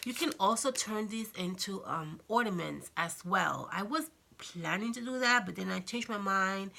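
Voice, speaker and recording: A woman hosting a casual crafting tutorial, chatty and friendly, her voice slightly raspy from a cold. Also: mid-range voice, bright, forceful tone, slight background noise. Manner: chatty, friendly, many fillers and "okay?" check-ins. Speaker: craft channel host.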